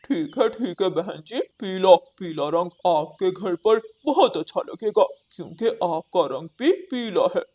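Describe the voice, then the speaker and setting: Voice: medium pitch, animated, a little slow, few pauses, darkish tone. An actor voicing a hardworking, polite male crow house painter in a children's cartoon, earnest and reassuring. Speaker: cartoon character voice. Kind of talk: Hindi children's cartoon dialogue.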